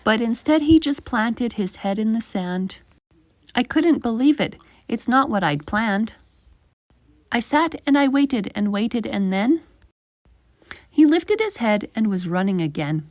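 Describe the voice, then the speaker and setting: A woman with a fairly high voice reads a children's storybook. Her delivery is animated and emphatic, following the rhyme closely. The sound is dry, with very little room in it. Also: unhurried, no room sound. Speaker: children's story reader and channel host. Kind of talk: expressive children's storybook read-aloud.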